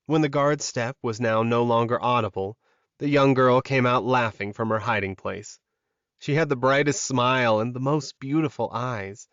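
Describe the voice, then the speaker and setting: A man's voice: mid-range voice, fairly bright tone, no room sound, muffled recording. A man reading scripted fantasy fiction as a dramatic audiobook narrator.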